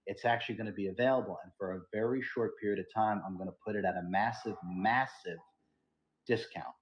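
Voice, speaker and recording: A man's voice: lowish voice, steady tone, fairly quick, dull audio, a little hiss or hum. A man hosting a podcast, giving an earnest and emphatic spiritual teaching talk. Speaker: podcast host.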